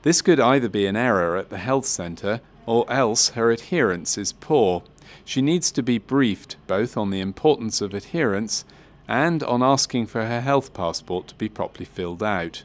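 A man reading scripted narration for a medical training film in a measured, matter-of-fact way. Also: on the low side, lively intonation.

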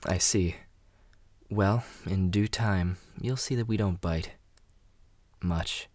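A woman playing a cool, sardonic young man in an amateur anime audio drama, deadpan and teasing. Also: rumbling low, slow pace, no echo, exaggerated voice. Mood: dry, mocking.

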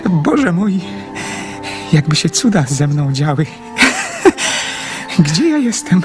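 Slow, swooping lonely young dreamer. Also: male voice, a little high, sing-song. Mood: rapturous. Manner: fervent, halting, swooping between hushed and elated. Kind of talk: radio drama acting. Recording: radio play with music underneath.

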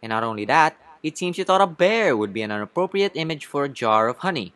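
A man's voice: on the high side, big pitch swings, a little fast, a bit bright, dry recording. A man voicing an earnest, indignant young bee who speechifies and asks pointed questions in a cartoon courtroom story.

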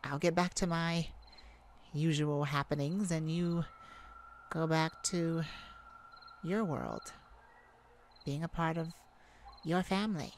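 Casting: tender, emotional vampire acted by a woman, lowish voice with gravelly fry, hesitant delivery for intimate ASMR roleplay. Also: a little hiss or hum. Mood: wary, sombre. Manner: hesitant, emotional, halting with sighs and pauses. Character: tender, wounded, lovesick vampire speaking to a hunter.

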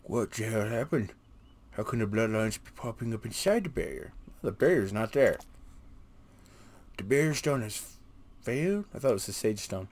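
A man's voice: fairly low pitch, animated, moderate pace, pauses often, darkish tone. A man giving casual, sarcastic gameplay commentary and reading quest text aloud, grumbling mildly as he goes. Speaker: gaming video host.

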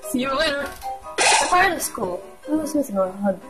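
A woman giving a playful, giggly, halting read-aloud dub of a comic, acting out the characters. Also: high-pitched, very animated. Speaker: amateur comic dubber. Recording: homemade recording with loud music.

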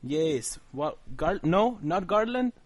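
An eager Russian man played by a male prank caller pleads insistently in broken English with a thick Russian accent. His voice sits a bit high, and he sounds naive and openly lustful. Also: very animated, slow, heavily acted. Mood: eager, suggestive. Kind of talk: prank phone call.

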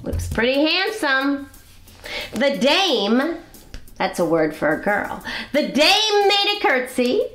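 Animated, high-voiced woman reading to children. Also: echoey room, faint music behind. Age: middle-aged. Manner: expressive, deliberate, explains words for young listeners. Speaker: children's storytime host. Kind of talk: read-aloud picture-book storytelling for children. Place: small indoor classroom-style set.

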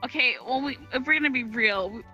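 A woman's voice: sits high, fast, muffled recording. A woman chatting casually and playfully with friends, teasing them as she goes. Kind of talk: casual playful banter in a group. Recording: loud music over the voice.

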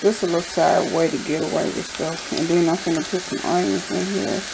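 Woman, gravelly, casual cooking chatter, home kitchen, sizzling pan noise. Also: lowish voice, a little fast. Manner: relaxed, rambling, talks while cooking. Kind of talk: casual cook-along narration. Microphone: camera pointed at the pot, speaker off-frame.

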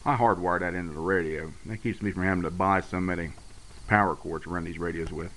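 A man with a fairly low voice gives a casual technical walkthrough. He explains things plainly, like a hobbyist showing his work. The sound is amateur handheld camera audio with noticeable background noise. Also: steady tone, fast, fairly bright tone. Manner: matter-of-fact, explanatory. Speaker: radio hobbyist demonstrating his conversion work. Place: indoor room at a home radio bench. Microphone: handheld camera's built-in mic. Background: clear background noise.

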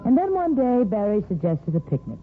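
A man's voice: high-pitched, wildly swooping pitch, brisk. A man reading lyrical, dramatic scripted narration for a 1950s radio soap opera. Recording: tinny vintage radio recording. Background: music.

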